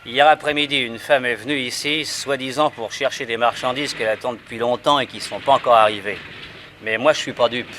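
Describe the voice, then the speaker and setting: An actor playing a wary, superstitious freight station chief in a French radio drama, talking gruffly and uneasily. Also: male voice, medium pitch, fairly even intonation, a little fast, sharp tone, slight background noise, lightly acted.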